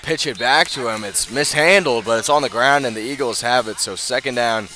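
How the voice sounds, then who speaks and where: Bright-voiced American man calling football on radio. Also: medium pitch, a little fast, faint music behind. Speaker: play-by-play commentator.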